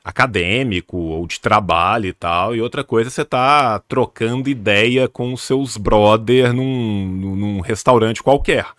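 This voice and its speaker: A man's voice: lowish voice, unhurried, few pauses, a bit bright, no room sound, studio quality. A young man from northern Minas Gerais with a Minas accent, hosting a casual live chat in a slangy, joking way. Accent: northern Minas Gerais Brazilian Portuguese. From northern Minas Gerais, Brazil. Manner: slangy, jokey, chatty. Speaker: channel host.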